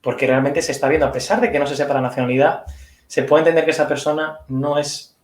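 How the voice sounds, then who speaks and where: A man's voice: a little high, steady tone, quick pace, roomy sound. A man answering questions as a speaker at an online conference, thoughtful and hedging, explaining with examples.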